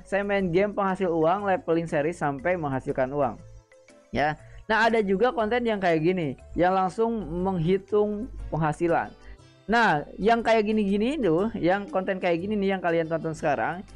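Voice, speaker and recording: A man hosting a gaming channel, talking casually to his viewers, repeating himself and often pausing with hesitant fillers. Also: sits high. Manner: chatty, repetitive, frequent hesitant fillers. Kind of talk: casual explainer chat to viewers.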